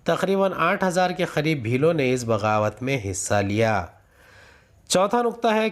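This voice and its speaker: A man teaching a lesson, reading numbered answer points aloud in a formal, measured manner. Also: on the high side. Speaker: teacher dictating history answers.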